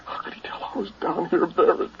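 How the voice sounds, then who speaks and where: An actor playing a frightened man trapped alive in a coffin, halting between muttering and desperate cries, in 1960s radio horror style. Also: lightly acted. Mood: despairing. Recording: dull, hissy vintage radio recording.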